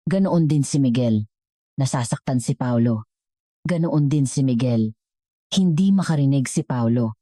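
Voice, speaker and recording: Female narrator, Filipino accent, dark and very low, dry close sound, scripted drama. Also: no echo. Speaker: story narrator.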